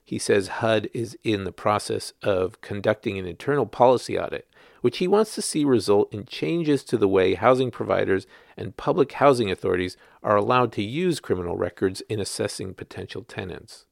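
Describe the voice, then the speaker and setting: A man's voice: on the low side, normal speed, gravelly, no room sound, clean studio sound. A man working as a journalist, reading his written article aloud as scripted narration.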